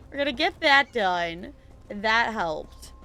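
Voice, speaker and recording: A chatty woman streaming a game, her voice bright and a little high. She laughs often, talks to her character, and rambles about her day. Faint game music plays behind her. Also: expressive, slow. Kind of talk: casual chat while gaming.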